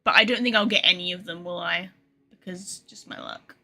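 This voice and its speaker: A woman livestreaming a video game, chatting casually to viewers in an excitable, rambling manner. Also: lowish voice, a little fast, gravelly. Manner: excitable, rambling, swears freely. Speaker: game streamer. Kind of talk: casual live gaming commentary, chatting to viewers.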